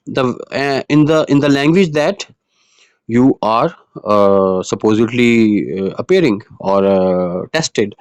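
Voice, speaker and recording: A male IELTS tutor whose first language is Urdu explains writing tasks in an earnest, patient way, often pausing on "uh" and restarting his sentences. His voice sits at a middle pitch. The recording is dry and close, with no room sound. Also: darkish tone, no room sound. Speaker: IELTS teacher. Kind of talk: online lesson monologue.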